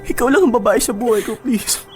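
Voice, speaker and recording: An actor playing a remorseful, cheating fiance who pleads desperately and earnestly in a radio drama. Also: male voice, extremely high pitch, fairly quick, faint music behind. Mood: pleading, tearful.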